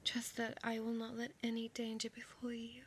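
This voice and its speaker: A woman's voice: on the high side, completely flat delivery, slight background noise, close to an everyday voice. Woman playing a whispering, possessive female vampire. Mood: tender, coaxing. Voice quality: breathy whisper. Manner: slow, intimate, coaxing, formal old-fashioned phrasing. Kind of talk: ASMR audio roleplay.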